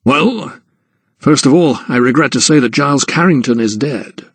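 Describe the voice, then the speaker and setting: A man's voice: medium pitch, normal speed, breathy edge, no room sound. A man voicing an earnest, polite young doctor, formal in speech and easily shaken, in an audiobook. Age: young adult.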